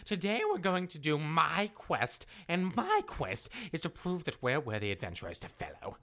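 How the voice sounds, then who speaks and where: An impatient would-be wizard adventurer played by a woman in a comedic fantasy audio drama. The delivery is exasperated and sarcastic, swinging wildly in pitch from a fairly low base for a woman. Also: big pitch swings, moderate pace, a bit bright, exaggerated voice. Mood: exasperated, determined.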